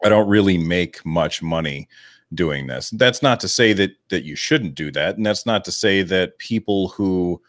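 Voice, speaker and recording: Guy with a really deep radio voice, rambly and joking about himself, dry sound. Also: expressive, unhurried, no echo. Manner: rambling, self-deprecating, laughs often. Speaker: guest podcaster. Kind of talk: relaxed interview talk.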